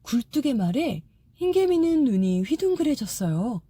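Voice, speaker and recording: A woman narrating a fairy-tale audiobook in a soft, gentle storytelling manner. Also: high-pitched, very animated, moderate pace, breathy edge, no echo.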